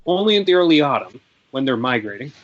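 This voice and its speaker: A man's voice: mid-range voice, big pitch swings, quick pace, lightly acted. A man acting a self-effacing, nature-loving loner, earnest and hesitant, at a script table read. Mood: apologetic, earnest. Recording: dull audio with faint noise, clicks.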